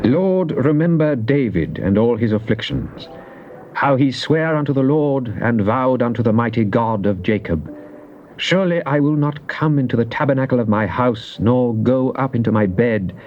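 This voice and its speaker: A man giving a dramatized recitation of psalms, solemn and declamatory, with drawn-out emphasis. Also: medium pitch, animated, a little mellow, soft background music. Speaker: scripture reader. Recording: muffled, noisy old cassette tape.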